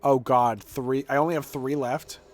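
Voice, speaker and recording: A man's voice: medium pitch, fairly even intonation, normal speed, soft background music. A man casually commentating as he streams a video game, chatting in a playful, exclaiming manner. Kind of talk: casual game commentary with chat and reading aloud.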